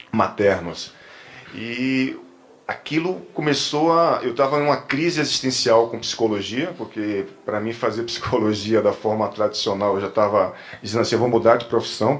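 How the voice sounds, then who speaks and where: A fairly low-pitched man with a Bahian Brazilian accent speaks like a trainer explaining his method to listeners. His delivery is informal and somewhat halting, with repeated words and rephrasing. There is noticeable noise in the room behind him. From Salvador, Brazil. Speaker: therapist and trainer giving a talk. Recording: clear background room noise.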